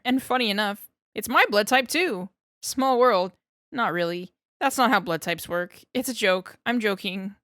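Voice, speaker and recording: A woman's voice: medium pitch, a bit bright, dry recording, studio quality, close to an everyday voice. A woman voicing a nervous male lab scientist who rambles and over-explains, eager to please.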